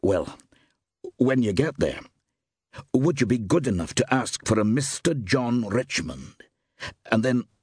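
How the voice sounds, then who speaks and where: Man playing an earnest young man, husky and insistent. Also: mid-range voice, expressive, unhurried, pauses often, a little mellow, no echo, studio quality, pitched above the performer's own voice. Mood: hopeful, tense. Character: earnest, urgent young man with a secret errand.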